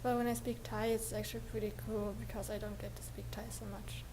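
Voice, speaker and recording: A woman's voice: medium pitch, level tone, quick pace, warm tone. Woman, interview subject, explains carefully, restarts when searching for words. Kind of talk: interview answers. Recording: background noise.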